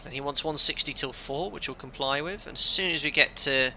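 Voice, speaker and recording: Bright-voiced man talking through a flight. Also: on the high side, fairly quick, a little hiss or hum. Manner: calm running commentary, reads out checklist items. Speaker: airline pilot streaming a flight simulator. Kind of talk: live commentary while flying.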